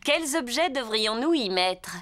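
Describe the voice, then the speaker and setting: A woman's voice: fairly high pitch, animated, unhurried, heavy background noise. A woman voicing a kind gazelle teacher in a cartoon, speaking patiently and encouragingly to small children. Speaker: playgroup teacher. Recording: cartoon dub with music.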